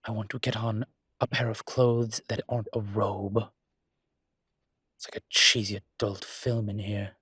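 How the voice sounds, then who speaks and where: A man playing a suave ancient demon professor in an intimate roleplay audio drama, with a husky, breathy low voice and a dry, teasing, urbane manner. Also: flat delivery, unhurried, a bit bright, dry recording, exaggerated voice. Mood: bantering.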